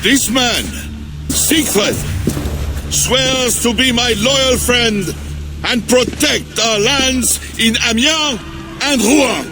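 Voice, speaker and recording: A man's voice: extremely high pitch, drawn-out words, music in the background, full character voice. An actor playing a proud, indignant medieval king in a video game cutscene. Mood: solemn. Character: proud fretful Frankish king, middle-aged man. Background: game score.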